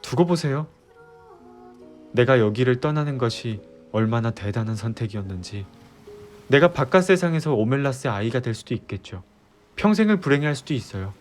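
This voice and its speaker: A man's voice: mid-range voice, animated, close to an everyday voice. Man acting an earnest, disillusioned young fiancé over music. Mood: impassioned, resolute. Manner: heartfelt, pleading monologue with deliberate pauses. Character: earnest, disillusioned young male fiance, resolved to leave utopia. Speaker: audio drama creator and voice actor. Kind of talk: Korean ASMR audio drama roleplay. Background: background music.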